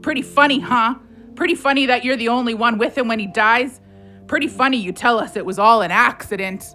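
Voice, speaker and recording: An actress playing a defiant, hurt young wife in an audio drama, with a biting, sarcastic manner. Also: female voice, a little high, fairly quick, sharp tone, faint music behind. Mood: biting sarcasm.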